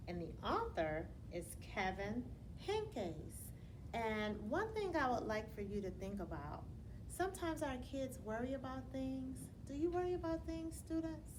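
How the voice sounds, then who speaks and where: A woman reading a children's bedtime story aloud in a teacherly, expressive way, putting questions to her young listeners as she goes. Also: high voice, unhurried, background noise. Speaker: elementary school storyteller reading to students.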